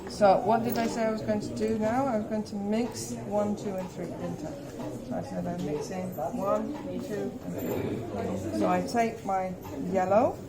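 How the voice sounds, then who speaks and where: Woman teaching painting over loud background chatter. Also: mid-range voice, unhurried. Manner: instructional, thinking aloud step by step. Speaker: art teacher demonstrating colour mixing. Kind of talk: hands-on demonstration with questions to learners. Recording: loud chatter of people behind.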